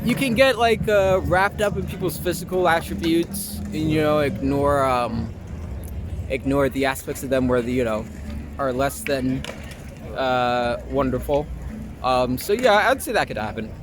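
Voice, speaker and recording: A man's voice: mid-range voice, unhurried, noisy background. A young adult man from Long Island giving a casual, informal and opinionated interview as an actor. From Long Island, New York. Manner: informal, mildly profane, opinionated. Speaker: interview guest, actor and performer. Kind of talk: casual street interview chat. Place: outdoors in an urban public spot. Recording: outdoor street with loud music behind.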